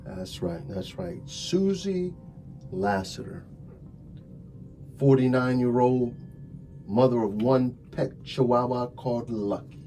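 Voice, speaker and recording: Slow, mellow police detective played by a man. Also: medium pitch, lively intonation. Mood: measured, dry. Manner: deliberate, hesitant, drawn-out phrasing with wry asides. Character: calm, methodical, sardonic male police detective questioning a suspect. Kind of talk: slow ASMR roleplay acting. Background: music.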